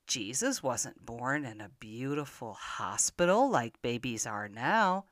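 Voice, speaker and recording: An older woman telling Bible stories to young children in an expressive manner, often putting simple questions to the listener. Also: low voice, no room sound, clean studio sound. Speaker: children's podcast storyteller.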